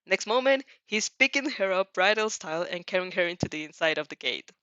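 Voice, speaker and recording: A woman's voice: lowish voice, animated, a little fast, bright, forceful tone, no room sound, lo-fi sound. A woman narrating fan fiction expressively as an amateur reader, sometimes stumbling over words.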